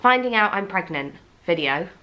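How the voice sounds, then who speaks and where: A woman's voice: mid-range voice, lively intonation, normal speed, pushed and bright, low-quality recording. A young woman vlogger from England chatting candidly to camera, with a blocked, fluey nose and lots of fillers and restarts. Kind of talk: casual chat to camera.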